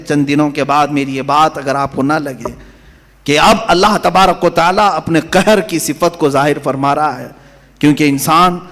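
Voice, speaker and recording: A man's voice: a little high, fairly bright tone, long reverb tail. A man delivering a sermon as a preacher, with impassioned oratory that rises and falls. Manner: impassioned, rising and falling oratory.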